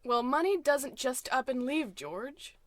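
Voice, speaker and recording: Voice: high voice, expressive, measured pace, no room sound. An actor playing a sweet, easily frightened housewife, a woman in an exaggerated old-time radio comedy. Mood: puzzled, defensive.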